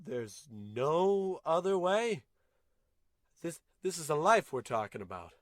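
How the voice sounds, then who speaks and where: A man's voice: a little high, big pitch swings, takes it slowly, no room sound, clean studio sound. A man playing an earnest, melodramatic spaceship captain with solemn, dramatic delivery in a comedy radio play. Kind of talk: radio play parody acting.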